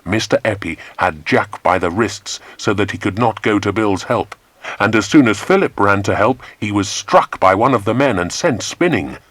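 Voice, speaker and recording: Male audiobook narrator, lowish voice, lively range, dramatic children's storytelling. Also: normal speed, dry recording.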